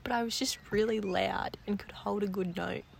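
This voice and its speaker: A woman's voice: mid-range voice, steady tone, normal speed, creaky, slight background noise. A young woman co-hosting a podcast, bubbly and giggly, talking straight to her listeners. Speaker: podcast co-host. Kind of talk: casual chat.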